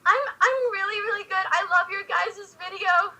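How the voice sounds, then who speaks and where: A woman's voice: very high-pitched, fairly even intonation, normal speed, bright, forceful tone, slight background noise, voice pushed up, exaggerated voice. A woman playing a starstruck, nervous crazy teenage fangirl who gushes and stammers on a prank call. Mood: gushing, starstruck. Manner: breathless gushing, stammering.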